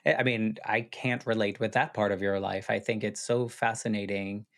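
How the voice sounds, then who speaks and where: A man's voice: on the low side, fairly even intonation, moderate pace. An American man hosting a podcast, asking curious, chatty questions with lots of fillers. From United States. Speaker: podcast host. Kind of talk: casual interview conversation.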